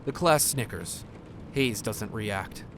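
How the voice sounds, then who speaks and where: A man's voice: fairly low pitch, animated, takes it slowly, fairly bright tone, a little hiss or hum. A man reading scripted scene narration for an audio drama.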